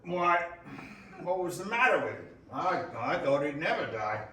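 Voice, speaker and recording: A man's voice: fairly high pitch, big pitch swings, moderate pace, bright, forceful tone, a little hiss or hum. A man playing a nosy, self-serving businessman in a readers theater drama, emphatic, in an echoey room. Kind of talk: readers theater stage acting. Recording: echoey room, distant mic.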